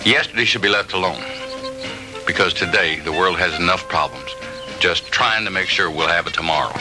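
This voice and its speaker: Man acting a stern, deep-voiced police lieutenant, old film. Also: brisk, natural voice, rough recording. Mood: grave. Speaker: film actor. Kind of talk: 1960s B-movie acting. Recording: old 1960s film soundtrack, loud music.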